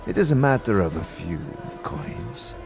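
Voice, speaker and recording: A man playing a sly, menacing Githyanki warrior in a fantasy audio drama, speaking in deliberate, formal, theatrical phrases. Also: mid-range voice, sing-song, vocal fry. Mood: mysterious, bargaining. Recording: music playing behind the voice.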